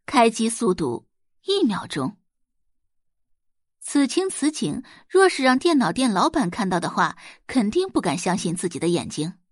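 A woman narrating a web novel as a scripted audiobook, telling the story in an expressive, dramatised way. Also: on the high side, measured pace, breathy edge, no room sound, clean studio sound.

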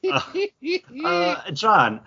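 A man with a husky voice hosting a podcast with casual, teasing banter. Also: high-pitched, huge pitch swings, drawn-out words, pushed and bright. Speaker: podcast host moderating the talk. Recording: dull, lo-fi audio.

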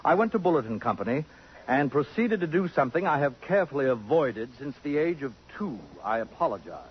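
A vain, middle-aged man of letters in 1950s radio drama. His phrasing is elaborate and cutting, with very animated pitch. The recording is muffled, with clear background noise. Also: brisk. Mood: wistful, self-important. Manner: pompous, precise, sardonic, elaborate phrasing. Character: vain, acid-tongued middle-aged male columnist, haughty and cultured.

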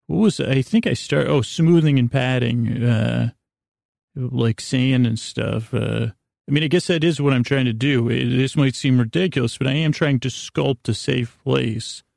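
Man, sleep podcast host, heavy vocal fry, gentle reassurance, halting digressive monologue, very dry room sound. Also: mid-range voice, no echo. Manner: halting, digressive, full of trailing asides and repeats. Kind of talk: rambling, meandering bedtime monologue.

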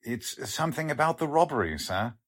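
A man's voice: mid-range voice, very animated, fairly quick, husky. A man playing an obedient, polite chief clerk in 1940s radio style, timidly insistent. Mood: deferential.

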